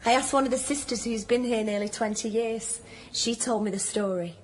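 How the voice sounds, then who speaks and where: Northern english nurse played by a woman, blunt and teasing, highish voice, radio play with some background noise. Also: normal speed, lightly acted, rough recording. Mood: storytelling. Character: down-to-earth hospital nurse, teasing, plain-spoken old friend. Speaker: actor in a radio drama. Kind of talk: naturalistic radio drama dialogue.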